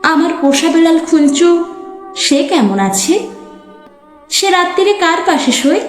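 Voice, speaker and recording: A very high, plaintive young village girl's voice, acted by a woman in an echoey recording with faint music underneath. She recites a sad letter to her mother as a dramatic poem, tenderly, in short phrases with pauses between them. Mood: tender, wistful. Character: sorrowful young village daughter, sold off, writing to mother. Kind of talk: dramatic Bengali poetry recitation as letter monologue. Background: soft background music.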